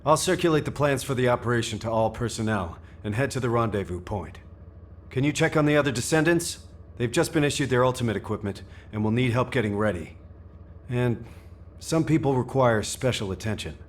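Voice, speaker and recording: A man playing a calm, supportive military commander in a sci-fi video game, speaking with authority. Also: medium pitch, fairly quick, slight background noise, close to an everyday voice. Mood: calm resolve.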